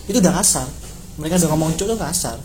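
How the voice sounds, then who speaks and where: Young East Java man, warm and mellow. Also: on the high side, animated, rapid-fire, a little hiss or hum. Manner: chatty, laughs often, echoes words back. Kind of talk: casual chat.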